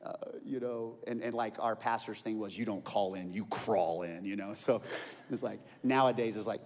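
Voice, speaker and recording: A pastor in his forties preaching a sermon, telling stories and swinging from quiet asides to loud outbursts. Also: on the low side, normal speed. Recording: long hall reverb.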